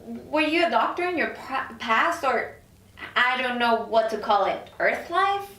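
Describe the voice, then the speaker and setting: A woman's voice: on the high side, expressive, unhurried, a bit bright. A woman playing a sceptical, questioning newly dead woman at a script table read. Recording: echoey room, distant mic.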